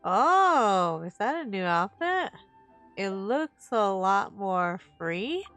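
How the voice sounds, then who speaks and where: A woman's voice: medium pitch, big pitch swings, slow pace, fairly bright tone, soft background music. A woman livestreaming a game with casual, gushing, enthusiastic reaction commentary. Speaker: game streamer.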